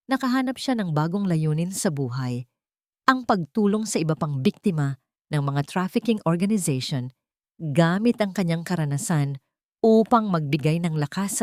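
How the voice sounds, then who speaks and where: A woman narrating a romance story in a gentle, measured, heartfelt way; she is the writer of the story. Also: low-pitched, dry recording, clean studio sound.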